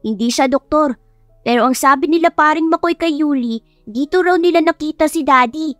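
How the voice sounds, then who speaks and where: A woman's voice: high voice, brisk, fairly bright tone, no echo, faint music behind, pitched above the performer's own voice. A woman voicing an eager, mischievous young child in earnest audiobook dialogue. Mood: curious, scheming.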